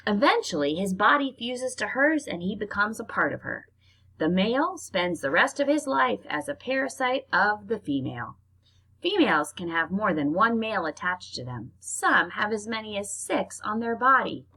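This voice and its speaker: A woman reads nonfiction aloud in an expressive, informal way, like reading a book to children. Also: medium pitch, moderate pace, husky, poor audio. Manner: expressive, informal reactions between passages. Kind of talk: read-aloud narration with casual spoken asides.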